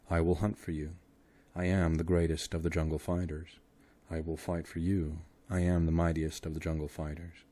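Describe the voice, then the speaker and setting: A man's voice: sits low, warm tone. A man voicing an ape-raised jungle man reading his own simple, earnest love letter.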